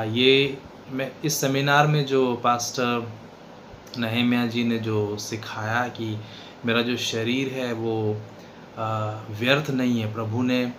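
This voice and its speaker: A man of 39 with an Indian accent gives an unscripted religious testimony, halting often and switching between two languages. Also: mid-range voice, fairly bright tone. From western Madhya Pradesh, India. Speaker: seminar participant giving a testimony. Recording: clearly noisy background.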